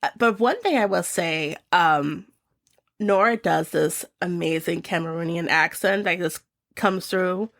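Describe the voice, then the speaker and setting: A woman's voice: fairly low pitch, a little slow, a bit bright, dry recording, studio quality. A young woman chatting casually and enthusiastically as she reviews her favorite shows of the year, with many fillers.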